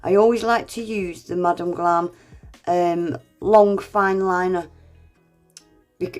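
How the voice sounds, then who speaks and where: A woman with a congested, under-the-weather voice, chattily talking viewers through a nail art tutorial. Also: mid-range voice. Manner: chatty, self-deprecating, addresses viewers as guys. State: congested, unwell. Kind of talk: casual step-by-step tutorial narration.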